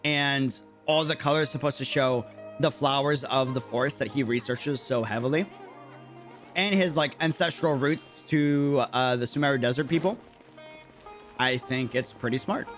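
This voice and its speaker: A man's voice: mid-range voice, moderate pace, a bit bright. A young man from Latin America giving casual livestream commentary as a game streamer, chatty and slangy, often thinking aloud. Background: video game music.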